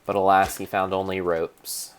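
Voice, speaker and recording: A man narrating his own gameplay as a solemn, theatrical dramatic reading, with pauses and small slips. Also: deep voice, little pitch movement, a little hiss or hum. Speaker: game streamer narrating play. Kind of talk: mock-dramatic storybook narration over gameplay.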